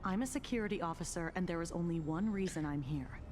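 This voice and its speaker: An actress playing a world-weary, confident security officer with a dry, knowing, deliberate delivery. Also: female voice, on the low side, darkish tone, a little hiss or hum, lightly acted. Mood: firm warning. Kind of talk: dramatic game voice acting. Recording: music behind the voice.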